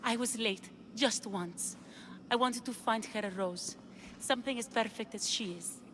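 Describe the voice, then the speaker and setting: A woman's voice: a little high, unhurried, a bit bright, a little hiss or hum. An actress playing a grieving young woman longing for her lost lover in a video game cutscene, speaking in an earnest, heartfelt way. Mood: sorrowful.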